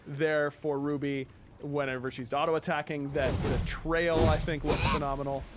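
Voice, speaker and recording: A man hosting a casual video game showcase, talking in an upbeat, enthusiastic and halting way with music behind him. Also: fairly high pitch, flat delivery, heavy background noise. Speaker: livestream host.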